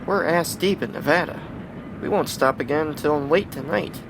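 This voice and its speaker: A man playing a nervous, deferential, excitable train porter in a period radio drama. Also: moderate pace, gravelly. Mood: nervous, incredulous. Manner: excitable, stammering, incredulous. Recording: train rumble behind the voice.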